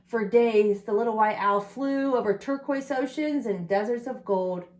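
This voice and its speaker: A woman's voice: fairly high pitch, moderate pace. A woman reading a picture book aloud to young children, with chatty asides about the pictures and questions to the listeners. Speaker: storybook reader for preschool children.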